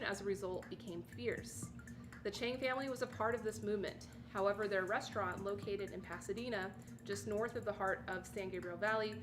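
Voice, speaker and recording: Woman reading aloud over background music. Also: medium pitch. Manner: reads steadily, breaks off into chatty, surprised comments. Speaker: livestream host. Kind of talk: reading an article aloud with casual asides. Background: music playing underneath.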